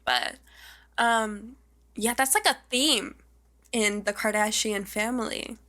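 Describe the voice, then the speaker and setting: A young woman with a high, bright, forward voice chats as a podcast co-host. She gossips casually in an animated, slangy way, with the odd swear word. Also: slow.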